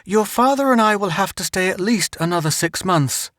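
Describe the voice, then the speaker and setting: A man doing a soft, worried mother. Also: high-pitched, lively intonation, moderate pace, dry recording, clean studio sound, pitched above the performer's own voice.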